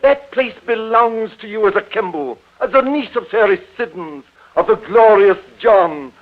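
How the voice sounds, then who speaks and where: A man's voice: high voice, normal speed, lightly acted. A man playing a domineering, stagey middle-aged father in an old radio drama, declaiming with precise diction. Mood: insistent. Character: domineering, theatrical middle-aged father training his actress daughter. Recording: tinny, band-limited old radio recording.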